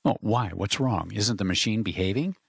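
A man voicing a gruff, skeptical homesick engineer from Louisiana. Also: fairly low pitch, normal speed, dry recording, clean studio sound.

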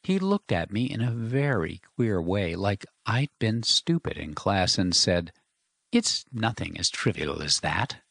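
A man's voice: on the low side, sing-song, measured pace, creaky, a bit bright, slightly rough, dry recording, clean studio sound, full character voice. A man voicing a worried young engineer who talks urgently and uneasily. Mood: urgent, uneasy.

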